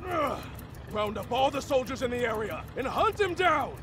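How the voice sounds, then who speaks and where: Hotheaded army general, really high n all over the place, exclaiming, game villain style. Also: male voice, sing-song, normal speed, noisy background. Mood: outraged. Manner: forceful, exclamatory. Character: blustering, combative army general, hot-headed. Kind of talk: cartoonish video game acting.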